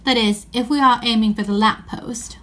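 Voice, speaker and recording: A woman's voice: fairly high pitch, fairly even intonation, normal speed, fairly bright tone, close to an everyday voice. A woman voicing a sulky, resentful younger schoolboy in a children's story, grumbling and haughty. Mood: suggesting.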